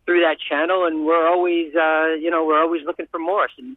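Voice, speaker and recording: A man calling in as a magazine editor, enthusiastic and rapid, full of fillers. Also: fairly high pitch, level tone, no room sound. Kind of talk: casual radio chat. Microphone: phone line. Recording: tinny phone line.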